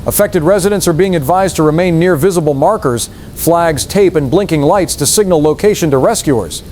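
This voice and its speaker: A man playing a calm, formal news anchor reading an emergency bulletin in a radio drama. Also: a little high, slight background noise, natural voice. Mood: grave, official. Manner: formal, measured.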